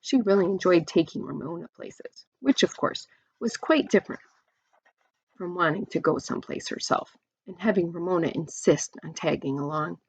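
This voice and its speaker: A woman's voice: fairly low pitch, moderate pace, gravelly, breathy edge, dry recording, rough recording. A woman reading a children's storybook aloud expressively, like a teacher to young listeners.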